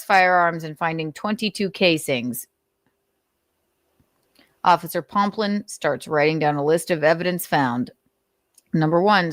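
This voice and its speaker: A woman's voice: lowish voice, fairly bright tone, no echo, clean studio sound. A woman host reading notes aloud and adding blunt, sarcastic commentary, swearing freely. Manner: sarcastic, mocking.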